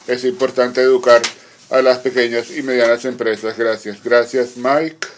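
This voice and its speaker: Even-toned man interpreting, halting phrases. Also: medium pitch, a little hiss or hum. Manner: measured, halting delivery in short phrases with pauses. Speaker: conference interpreter. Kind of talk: simultaneous interpretation of a formal panel discussion.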